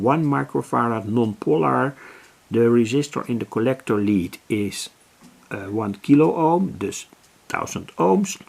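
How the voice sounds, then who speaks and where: Hobby electronics host, gravelly low-key voice, slow and halting. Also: male voice, a little mellow. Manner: slow, deliberate, with many restarts and self-corrections. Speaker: electronics hobbyist explaining a circuit. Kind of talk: slow, halting explanation of a schematic. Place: home workbench.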